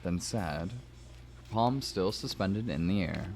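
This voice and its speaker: A man's voice: sits low, animated, slow pace, darkish tone. A man narrating a story aloud, slipping in playful asides. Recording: music behind the voice.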